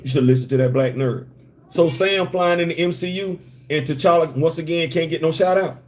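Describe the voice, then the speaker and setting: New york guy w a bit of southern in his accent, fired up, slangy, repeats himself, kinda higher voice, chatting w his live viewers. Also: moderate pace, a little mellow. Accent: Southern-tinged New York. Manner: emphatic, repetitive, slangy, chats with viewers. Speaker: live stream host. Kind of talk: animated live-stream commentary.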